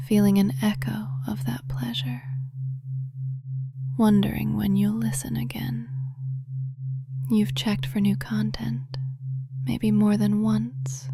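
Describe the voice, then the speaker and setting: A woman giving hypnosis guidance in a breathy whisper, speaking deliberately with a commanding, seductive manner. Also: medium pitch, heavy background noise. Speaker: hypnosis performer.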